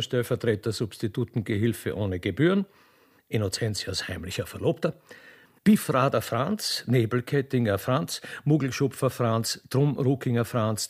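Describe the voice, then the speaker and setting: A man narrating a radio play in a theatrical, mock-solemn and ceremonious way. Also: mid-range voice.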